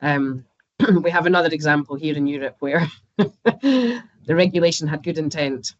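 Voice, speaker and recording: A woman's voice: low-pitched, normal speed, no echo, dull audio. A woman with a Scottish accent, a banking expert guest talking casually in a podcast interview and laughing often. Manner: chatty, laughs often, uses fillers.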